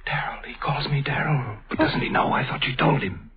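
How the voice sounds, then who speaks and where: A man's voice: mid-range voice, very animated, moderate pace, a little hiss or hum, close to an everyday voice. A man playing an impassioned middle-aged scientist. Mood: intense. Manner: intense, theatrical. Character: middle-aged male scientist, proud, emotional. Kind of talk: 1930s radio acting. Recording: tinny old radio broadcast.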